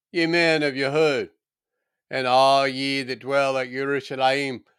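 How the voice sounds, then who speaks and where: Man, solemn Bible reading, unhurried and measured, dramatic oratorical phrasing, smooth tone. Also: medium pitch, a bit bright, no echo, clean studio sound. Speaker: scripture reader.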